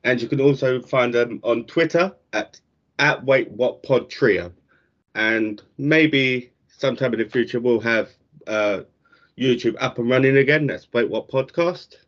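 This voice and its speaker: A man's voice: mid-range voice, a little slow, fairly bright tone. A man co-hosting a podcast, easygoing and wry. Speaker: podcast co-host. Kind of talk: casual banter and sign-off. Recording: muffled lo-fi podcast audio.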